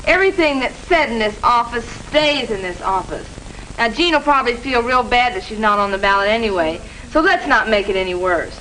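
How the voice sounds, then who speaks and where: A woman's voice: a little high, lively intonation, fairly quick, bright, forceful tone. An actress playing a sensible, reluctant schoolgirl who chairs a club in an old educational film. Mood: resigned, firm. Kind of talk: 1950s educational film acting. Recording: distant, noisy 1950s film soundtrack.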